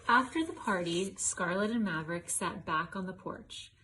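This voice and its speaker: Female storybook reader, slightly low register, read-aloud delivery, light background noise. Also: moderate pace, bright, forceful tone, poor audio.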